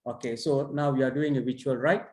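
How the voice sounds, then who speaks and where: A man chairing an online conference session and giving feedback on a paper, halting and full of fillers and restarts. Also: mid-range voice, steady tone, darkish tone. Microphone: video call. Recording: roomy echo.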